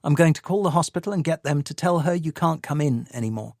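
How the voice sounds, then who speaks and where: A man's voice: a little high, expressive, fast talker, darkish tone, no echo, clean studio sound, pitched above the performer's own voice. A man reading aloud, voicing an anxious adult woman who speaks in a pleading, agitated way. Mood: exasperated.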